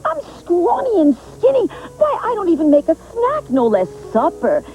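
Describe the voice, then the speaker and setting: Woman doing a squeaky timid little billy goat. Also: wildly swooping pitch, normal speed, dull audio, soft background music, full character voice. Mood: pleading. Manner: high, pleading and fearful. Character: tiny scrawny young billy goat, timid.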